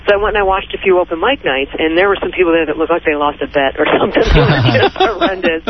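A woman's voice: lowish voice, very animated, quick pace, dull audio, very noisy. A woman with a Midwestern accent, a stand-up comedian chatting as a radio guest, laughing often and self-deprecating. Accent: Midwestern American. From Detroit, Michigan. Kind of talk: casual radio interview chat.

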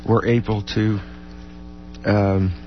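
A man co-hosting a music radio show in casual, unscripted banter, halting and repeating his words as he goes. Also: lowish voice, little pitch movement, dull audio. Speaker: radio co-host who plays music.

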